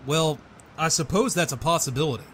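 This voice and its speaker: A man's voice: fairly high pitch, brisk, slight background noise, natural voice. A man playing a streetwise, sardonic police officer in a comic-book audio drama, casual and wry. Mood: suspicious. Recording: loud music under the voice.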